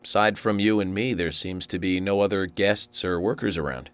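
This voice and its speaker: An actor with an American accent playing an eccentric, polite investigator in a video game, speaking in a measured, formal way. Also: male voice, sits low, steady tone, clear, resonant tone, lightly acted. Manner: measured, formal, musing aloud. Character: eccentric polite male investigator, brooding and courteous. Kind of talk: scripted video game voice acting.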